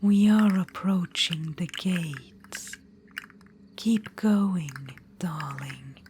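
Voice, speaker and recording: A woman voicing a possessive, menacing undead witch-queen in an ASMR roleplay, whispering softly and slowly throughout. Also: on the low side, slight background noise. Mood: coaxing, menacing. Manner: soft whisper throughout, slow and intimate.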